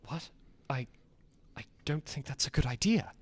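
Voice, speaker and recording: An actor voicing a timid, gentle male horse in a children's radio drama, hesitant and halting. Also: medium pitch, lively intonation, husky. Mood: nervous reluctant.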